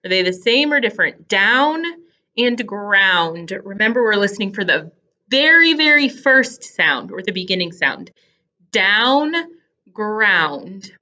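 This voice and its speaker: A woman teacher giving a phonics lesson to young children, deliberately sounding out words in pieces and repeating questions to check understanding. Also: mid-range voice, sing-song, bright, forceful tone, lo-fi sound. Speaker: early elementary teacher.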